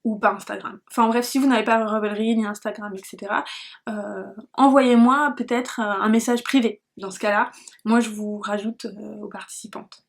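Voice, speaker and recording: A woman's voice: mid-range voice, steady tone, moderate pace, creaky. A woman hosting a knitting podcast, chatting casually to viewers in a scattered, self-deprecating way and often losing her thread. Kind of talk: casual rambling vlog talk to viewers.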